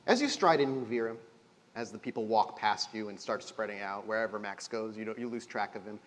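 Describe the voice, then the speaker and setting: A man's voice: lowish voice, brisk. Man narrating as game master, echoey hall. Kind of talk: live narration of a tabletop horror game. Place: live stage at a gaming convention. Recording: echoey hall, live convention show.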